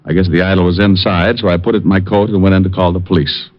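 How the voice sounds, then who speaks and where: An actor playing a cool, wisecracking private detective, dry and hardboiled, in old radio drama style. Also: male voice, low voice, steady tone, quick pace, natural voice. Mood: wry narrating. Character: wisecracking, cool male private detective narrating his case. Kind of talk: 1940s-50s radio drama narration and acting. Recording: dull vintage radio recording, faint music.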